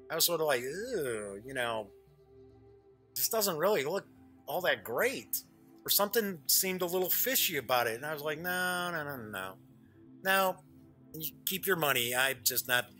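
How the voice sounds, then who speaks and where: A man's voice: on the high side, pushed and bright. A middle-aged man hosting a tabletop gaming web show, chatting casually to viewers in a rambling, self-correcting way.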